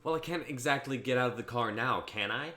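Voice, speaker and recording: A man's voice: medium pitch, brisk, bright, forceful tone, lightly acted. A man acts as a protective young husband in a drama. He sounds exasperated and urgent, with a sardonic edge and a mid-range voice. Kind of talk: dramatic script reading.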